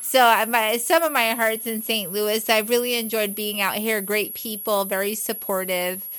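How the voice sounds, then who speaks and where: A woman's voice: medium pitch, flat delivery, moderate pace, fairly bright tone. A woman from Guyana, a physician, chatting as a guest on a talk show and telling her story in an upbeat, conversational way. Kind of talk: casual talk show interview.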